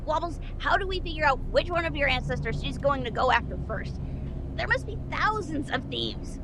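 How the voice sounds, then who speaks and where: A woman's voice: sits high, animated, moderate pace, background noise. A woman playing a mischievous, flippant pixie techno-mage in an audio drama, with a sarcastic, teasing delivery. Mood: explanatory.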